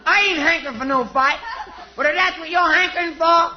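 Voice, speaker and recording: A comedian playing a hapless, cowardly cowboy outlaw who blusters in mangled slang on radio. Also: male voice, very high-pitched, a little fast. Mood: blustering. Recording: dull, very noisy old radio recording.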